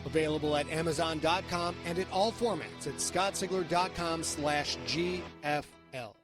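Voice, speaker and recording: A man's voice: a little high, moderate pace. A man delivering a scripted advertisement as an announcer. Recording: music bed behind voice. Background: music.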